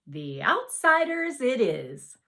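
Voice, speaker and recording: A woman's voice: fairly high pitch, big pitch swings, takes it slowly, sharp tone, voice pushed up, exaggerated voice. A woman voicing a friendly, forthright schoolgirl in a storybook read-aloud, casual and encouraging. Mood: cheerful.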